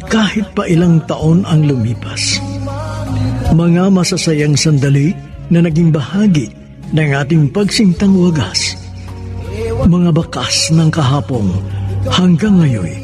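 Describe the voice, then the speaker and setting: A man's voice: on the high side, animated, slow, pauses often, music in the background. A man hosting a radio drama with sentimental, poetic scripted narration and a theatrical delivery.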